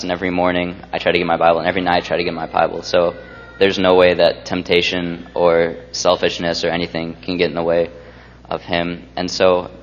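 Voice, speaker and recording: A man's voice: deep voice, in a large hall, lo-fi sound, slight background noise. A man giving a fervent, earnest testimony at a church gathering. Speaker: young believer sharing a testimony.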